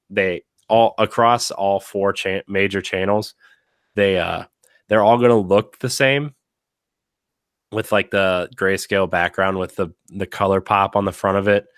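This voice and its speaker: A man with a very low voice hosts a casual podcast, a little raspy from a week of sickness. He rambles along with lots of fillers and restarts. The sound is close and dry. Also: steady tone, a little slow, no echo. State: slightly raspy from being sick. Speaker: podcast host. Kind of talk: casual chat.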